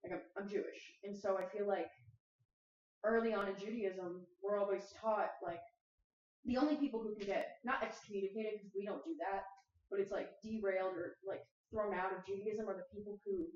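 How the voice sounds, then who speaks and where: A woman's voice: medium pitch, darkish tone. Chatty young woman in an echoey room. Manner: chatty, many "like" fillers. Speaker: podcast guest. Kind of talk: casual conversation. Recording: echoey room, distant mic, dull sound.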